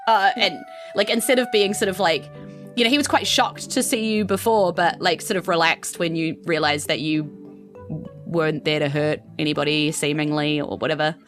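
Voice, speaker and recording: A woman with a New Zealand accent narrates a tabletop game as its game master. Her voice sits a little low, and she improvises conversationally with faint music underneath. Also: steady tone, normal speed.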